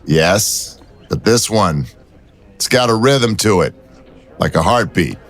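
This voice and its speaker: A man's voice: lowish voice, expressive, slow pace, a bit bright, heavily acted. A man playing a cool, teasing police detective in an audio drama, with a wry, bantering manner. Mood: intent, wry.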